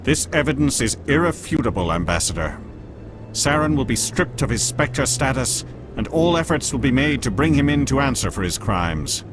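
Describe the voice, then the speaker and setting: A man's voice: medium pitch, very animated, normal speed, background noise, natural voice. A man voicing a dismissive alien councilor. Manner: formal, dismissive. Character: skeptical male alien councilor, stiff and dismissive.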